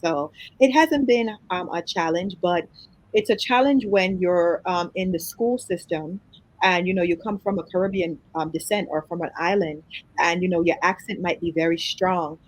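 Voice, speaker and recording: Chatty Jamaican woman, slightly low voice. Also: moderate pace, rough recording. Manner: chatty, laughs easily, trails into fillers. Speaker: guest. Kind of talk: casual interview conversation.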